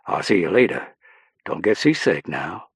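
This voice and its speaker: Casting: male reader as cheeky gallant chap, teasing, relaxed, husky. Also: low voice, normal speed, no echo, studio quality, exaggerated voice.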